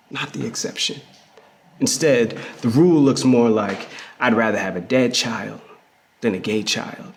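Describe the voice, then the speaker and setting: An actor playing a reflective man in a theatrical first-person memoir monologue, telling it conversationally with emphasis and pauses. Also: medium pitch, lightly acted. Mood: earnest, impassioned. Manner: conversational, emphatic storytelling with pauses and repeated words. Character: reflective queer man fondly recalling his hustling grandmother. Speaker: actor in a dramatic reading of a memoir. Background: music.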